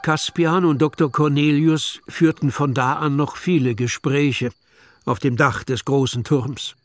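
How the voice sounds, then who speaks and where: A man's voice: fairly high pitch, fairly even intonation, a little slow, soft background music. A man narrating a children's radio play in a scripted storybook style.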